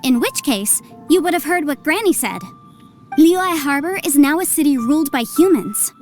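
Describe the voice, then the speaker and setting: A woman's voice: high voice, lively intonation, normal speed, darkish tone, soft background music. A woman voicing a breezy, confident, articulate young legal advisor in a video game. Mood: confident, explaining. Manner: breezy, articulate, self-assured.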